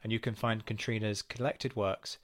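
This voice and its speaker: A man's voice: lowish voice, little pitch movement, normal speed, no room sound, clean studio sound. A man reading a scripted outro as a podcast announcer.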